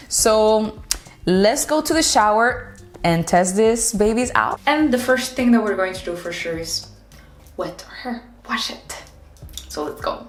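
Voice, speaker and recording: Chatty woman with a Dominican accent. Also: mid-range voice. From Dominican Republic. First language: Spanish. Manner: chatty and upbeat, laughs often, says "like" a lot. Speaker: hair care vlogger. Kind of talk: casual product review vlog. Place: home bathroom and shower.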